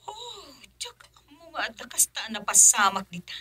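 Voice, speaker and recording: Bright, animated woman acted in a radio drama. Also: a little high, moderate pace, exaggerated voice. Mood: alarmed. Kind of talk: Ilocano radio drama acting.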